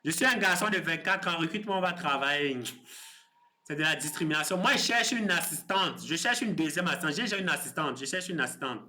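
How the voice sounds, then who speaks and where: A man in his thirties hosting a crypto live stream, chatting with viewers in a relaxed, teasing way and explaining his course. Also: fairly high pitch, fairly quick. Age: 30s. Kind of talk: casual live stream chat.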